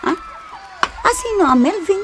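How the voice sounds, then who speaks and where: An actress with a Filipino accent playing a giggly, teasing matchmaker friend in a radio drama. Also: female voice, very high-pitched, expressive, moderate pace, a crowd in the background, background noise. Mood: breezy. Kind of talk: Ilocano radio drama acting.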